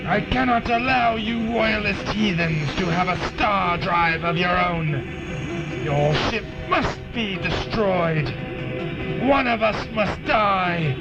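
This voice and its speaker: A vengeful alien soldier declaims in grandiose, archaic phrases. The voice is high and slow, with the fanatic zeal of a science fiction audio drama. Loud music sits behind it. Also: male voice, highs cut off. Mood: solemn menace. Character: zealous, vengeful male alien soldier in mortal combat.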